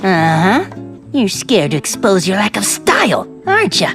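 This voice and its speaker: Game role, boyish young soldier: talkative, cheerful, earnest, highly animated intonation, soft music underneath. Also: female voice, normal speed. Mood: teasing, playful. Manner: chatty, informal, earnest. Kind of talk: English video game voice acting.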